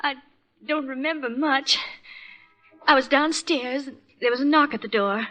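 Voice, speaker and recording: A woman's voice: high-pitched, moderate pace, a bit bright, faint music behind. A woman playing an anxious, fragile young woman in an old-time radio melodrama, faltering and pleading, on a muffled recording. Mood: nervous recounting.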